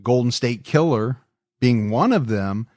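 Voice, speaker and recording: A man's voice: medium pitch, expressive, measured pace, a little mellow, no echo, studio quality. A man hosting a true-crime podcast in casual, earnest chat, often repeating words as he stresses a point. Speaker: podcast host.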